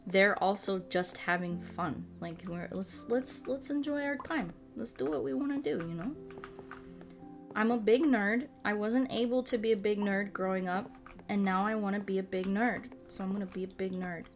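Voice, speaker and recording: A woman in her late twenties chatting informally as a livestream host, rambling and animated, with loose, sweary language. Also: mid-range voice, moderate pace, soft background music. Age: late 20s. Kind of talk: casual chat while playing a game, reading and answering chat.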